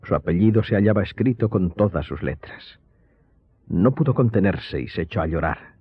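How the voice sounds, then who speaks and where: A man narrating a radio serial in a scripted, dramatic and measured storytelling style. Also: deep voice, expressive, slightly rough, dry recording, dull audio.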